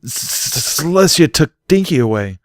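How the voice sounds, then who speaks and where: A man's voice: on the high side, very animated, normal speed, no echo, studio quality, pitched above the performer's own voice. A man voicing a panicked male storybook pony who stammers heavily after a nightmare. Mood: terrified. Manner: heavy stammer on first sounds.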